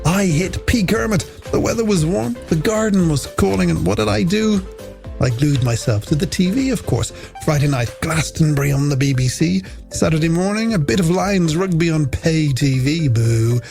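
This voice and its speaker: A man's voice: fairly high pitch, lively intonation, normal speed, creaky, a little mellow. A man presenting a late-night music radio show in chatty, playful, scripted patter.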